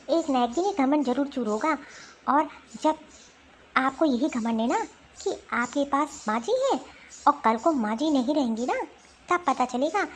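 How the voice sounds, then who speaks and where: A woman voicing an angry, sharp-tongued woman scolding relatives in a family drama cartoon. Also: high voice, lightly acted. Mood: indignant. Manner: scolding, indignant. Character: angry, sharp-tongued Indian women quarrelling in a family feud.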